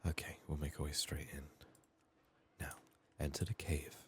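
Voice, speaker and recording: Very deep, soft-spoken man, half whispering. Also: flat delivery, a little hiss or hum. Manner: murmured, halting, slipping into whispers. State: sick, strained voice. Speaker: ASMR gaming video host. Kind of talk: soft-spoken, whispered ASMR game commentary.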